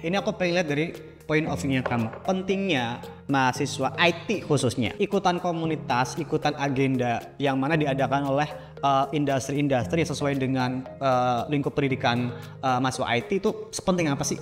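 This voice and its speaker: A man's voice: mid-range voice, a little fast. A man with an Indonesian accent hosting a podcast, interviewing casually in chatty, colloquial speech with frequent tag questions. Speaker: podcast host. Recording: very reverberant room, clear music underneath. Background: music.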